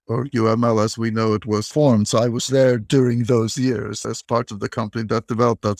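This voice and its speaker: A middle-aged man from Turkey speaks at a middle pitch with a gravelly, creaky edge. He answers interview questions in a measured, explanatory way. The recording is dry and close, with no room sound. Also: dry recording. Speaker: guest, bank technology executive.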